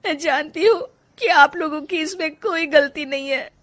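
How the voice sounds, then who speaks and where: A woman voicing an unhappy young bride in a cartoon story, resentful and quick to snap, sometimes tearful. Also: sits high, a bit bright, voice pushed up. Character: young unhappy bride, frustrated, sharp-tongued.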